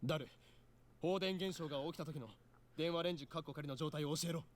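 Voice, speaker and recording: An actor playing a pompous, theatrical young mad scientist in a Japanese visual novel, bossy in manner. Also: male voice, on the high side, very fast, close to an everyday voice. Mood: imperious.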